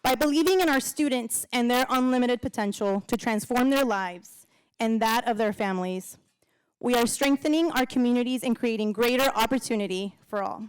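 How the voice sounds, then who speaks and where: Smooth-voiced woman giving a gala speech. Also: on the high side, normal speed, no room sound, a faint crowd. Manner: heartfelt, laughs between lines. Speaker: co-emcee and alum speaker. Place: large event hall, on stage. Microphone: handheld microphone.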